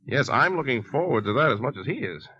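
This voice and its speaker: A man's voice: mid-range voice, big pitch swings, fast, sharp tone, breathy edge, exaggerated voice. An American man playing an earnest, troubled suspense hero in a 1950s radio drama, on a dull old recording. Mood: guarded, hesitant. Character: earnest, troubled brother-in-law narrating a suspense story.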